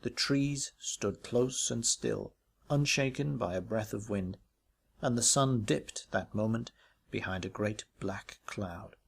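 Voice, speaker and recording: A man reading a ghost story aloud as literary narration, in a measured, expressive way with varied emphasis. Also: mid-range voice, gravelly, husky. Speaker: audiobook reader.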